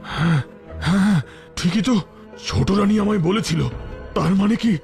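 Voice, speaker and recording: Casting: actor as commanding but flustered king, high gravelly voice, fairy-tale audio drama with music. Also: moderate pace, darkish tone. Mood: bewildered. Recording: music under the voice.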